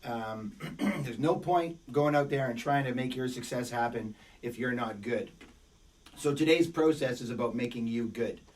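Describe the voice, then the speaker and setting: A man's voice: mid-range voice, normal speed, darkish tone, distant mic. A middle-aged man coaching a webinar in an emphatic, repetitive motivational way, his voice worn by a cold. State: fighting a cold, voice a bit worn. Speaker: business coach. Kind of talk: webinar teaching.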